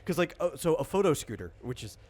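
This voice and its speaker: A man's voice: fairly high pitch, very animated, quick pace, a little mellow. Man casually explaining game options. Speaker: game master. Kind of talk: casual tabletop character-creation session.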